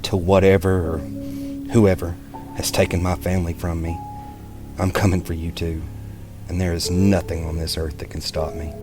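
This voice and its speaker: A man's voice: sits low, little pitch movement, moderate pace, lightly acted. A male actor playing a grim, determined father vowing menacingly to avenge his family in a dramatic audio drama trailer. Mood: menacing resolve. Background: loud music.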